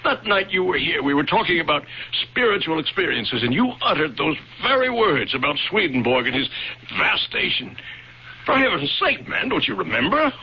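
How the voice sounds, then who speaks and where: Husky, high-voiced, tormented older author. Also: male voice, big pitch swings, moderate pace, exaggerated voice. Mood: tormented confession. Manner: agitated, repeating himself in short bursts. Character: anguished, guilt-ridden older male author, broken by despair. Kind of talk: old-time radio drama acting. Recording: dull, lo-fi radio drama recording.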